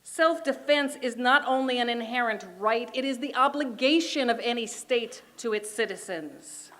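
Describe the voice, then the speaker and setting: High-voiced middle-aged woman giving a rally speech. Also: fairly bright tone, a little hiss or hum. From Israel. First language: Hebrew. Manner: emphatic, deliberate oratory with repeated phrases. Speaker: community organization chair speaking at a rally. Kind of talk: prepared political speech.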